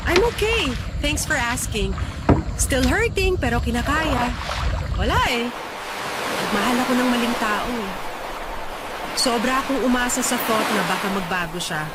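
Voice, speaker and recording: A woman acting a heartbroken, soft-hearted young Filipino woman in a radio drama. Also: a little high, animated, a little slow, pauses often, very noisy. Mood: hurt, resigned. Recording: loud music bed behind the voice.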